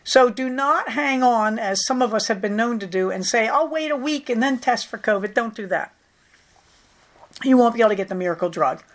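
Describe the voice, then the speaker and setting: A middle-aged woman with a slightly high voice talks through her knitting projects in an easy, chatty way. She is still getting over a cold. Her delivery is matter-of-fact, with the odd dry, wry aside. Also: normal speed. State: recovering from a cold. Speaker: knitting podcast host. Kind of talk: casual chatty project update.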